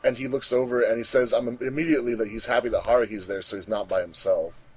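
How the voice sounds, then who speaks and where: A man's voice: medium pitch, steady tone, quick pace, slightly rough, poor audio. A man giving casual group commentary, repeating himself for emphasis.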